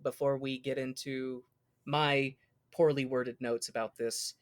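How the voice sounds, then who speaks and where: A man's voice: medium pitch, little pitch movement, a little slow, dry recording. An American man co-hosting a podcast, chatting casually with a wry, jokey manner. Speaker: podcast co-host.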